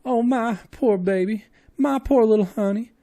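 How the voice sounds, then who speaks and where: Shrill wailing servant woman, put on by a man. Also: moderate pace, dark tone. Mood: wailing terror. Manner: shrill, wailing, high falsetto.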